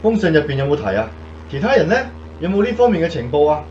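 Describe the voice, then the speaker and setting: A man's voice: a little high, sing-song, fast talker, a little mellow, a little hiss or hum. Concerned airman, distant and muffled. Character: concerned, questioning male bomber crewman. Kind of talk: Cantonese radio drama acting.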